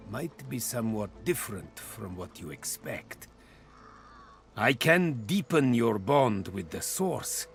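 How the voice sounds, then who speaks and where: A man playing a proud, solemn mentor in a video game, speaking in measured, eloquent phrases. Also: lowish voice, creaky, full character voice. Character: grave, proud male mentor teaching a dark lesson. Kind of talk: video game character acting.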